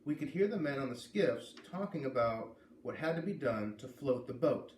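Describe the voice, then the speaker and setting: A man with a medium-pitched voice reads a story aloud as a casual host. He sounds a little distant from the microphone, in a roomy space with faint noise. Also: animated, moderate pace, darkish tone. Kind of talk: storybook narration with casual asides. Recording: echoey room, distant mic, faint noise.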